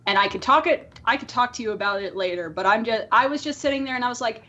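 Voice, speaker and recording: A woman with a high voice chats casually about films as a podcast co-host. She is emphatic and opinionated, and tends to ramble through her points. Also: fast, bright, forceful tone, lo-fi sound. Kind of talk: casual opinionated film discussion.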